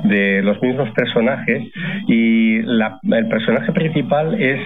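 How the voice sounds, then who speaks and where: A retired man in his sixties whose first language is Catalan, a novelist guest telling his stories in a measured, thoughtful way. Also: medium pitch, over music. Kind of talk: radio interview. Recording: phone-like radio broadcast with crowd behind. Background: crowd chatter.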